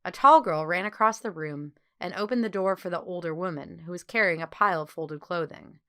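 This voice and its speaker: A woman reading a children's story as an audiobook narrator, with clear phrasing and expressive delivery. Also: on the low side, a little fast.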